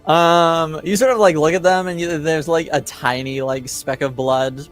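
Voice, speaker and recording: A man acting as game master, narrating casually and haltingly as he improvises and thinks aloud. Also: fairly high pitch, fairly even intonation. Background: music.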